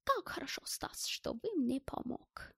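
Женщина изображает жалобного пожилого немца с высоким голосом. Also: female voice, high-pitched, lively intonation, gravelly, no room sound, clean studio sound, voice pushed up. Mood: grateful. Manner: plaintive, emotional, halting foreign phrasing.

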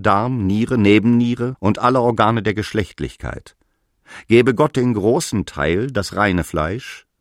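Grave, fervent apostle in a low voice. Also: male voice, dry recording, full character voice. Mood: grave, urgent. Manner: declamatory, grave, exhorting. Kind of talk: solemn radio drama monologue in archaic scriptural language.

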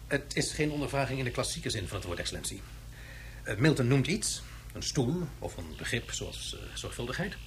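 A fussy professor in a radio play, with a mid-pitched male voice. He explains eagerly and hesitantly over faint noise. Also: sing-song, fairly quick, a bit bright. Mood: pedantic, irritated. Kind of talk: radio drama acting.

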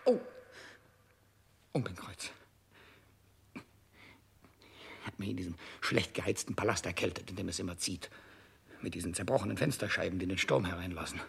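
A man's voice: deep voice, a little fast, vocal fry, long pauses, slightly rough, heavily acted. An actor playing an imperious, petulant, ailing king in denial, pompous and capricious, in a 1960s radio play. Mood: grumbling, feeble. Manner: capricious, pompous, swinging from shouts to mutters.

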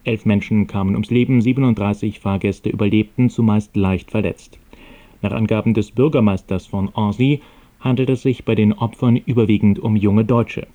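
A man reading scripted radio news in a formal, measured and neutral manner. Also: fairly low pitch, steady tone, mellow.

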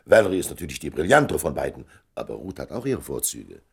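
Shrewd older gentleman, very low gravelly voice, husky, measured, candid, radio drama. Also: sing-song, exaggerated voice. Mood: wry, curious. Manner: measured, pointed. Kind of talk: German radio play acting.

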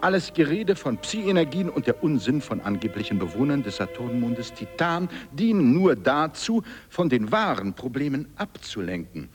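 Radio drama congress reporter, played by a man: mid-range male, formal, composed, animated melody, music underneath. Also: mid-range voice, normal speed, close to an everyday voice. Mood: matter-of-fact. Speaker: commentator at a science congress. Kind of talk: scripted radio drama commentary.

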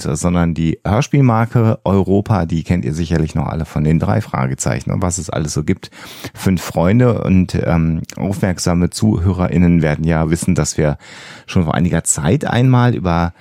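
A man hosting a podcast in a casual, measured way with some hesitant phrasing. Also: sits low, vocal fry, mellow, no echo, studio quality. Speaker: podcast host; psychologist. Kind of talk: casual scripted-intro podcast talk.

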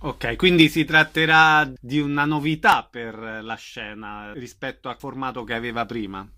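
A man's voice: medium pitch, fairly even intonation, normal speed, pushed and bright. A man interviewing guests on radio, asking casual, conversational questions.

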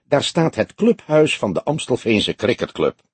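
A man's voice: mid-range voice, very animated, moderate pace, husky, no room sound. A man voicing an older, grey-haired detective with a laconic, thoughtful way of talking, in an audiobook. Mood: laconic, calm.

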